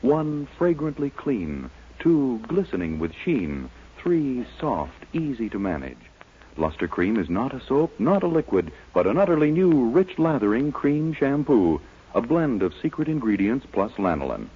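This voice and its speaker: An American man announcing for a 1940s radio sponsor, reading a script in a polished, measured salesman's style with deliberate emphasis. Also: medium pitch, very animated, slightly rough. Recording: dull, lo-fi 1940s radio recording.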